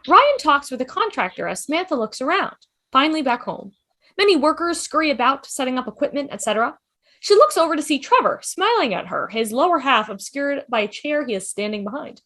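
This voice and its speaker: A woman's voice: high voice, sing-song, brisk, a bit bright. A woman reading scripted stage directions as the narrator of a table read over a video call.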